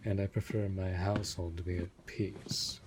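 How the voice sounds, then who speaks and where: Vampire master played by a man for audio roleplay: deep voice, courteous, measured, half-whispered formality, loud background noise. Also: little pitch movement, lightly acted. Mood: grave, restrained. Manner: formal, measured, hushed delivery often dropping to a whisper. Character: male vampire lord, formal, courteous, reserved master of a manor. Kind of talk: scripted audio roleplay addressed to the listener. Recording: noisy, hissy background.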